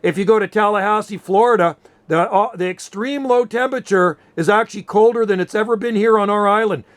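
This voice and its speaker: Canadian guy with a pretty high voice, just chatting away on ham radio, explains stuff. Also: a little fast. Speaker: amateur radio operator, host. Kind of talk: casual radio chat.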